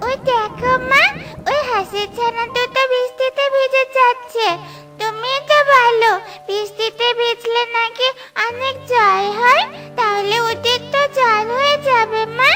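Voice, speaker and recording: A woman's voice: very high voice, steady tone, unhurried, long pauses. A woman voicing a kind, anxious little child bird in a children's cartoon, with a pleading manner. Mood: eager, concerned. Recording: loud children's music behind.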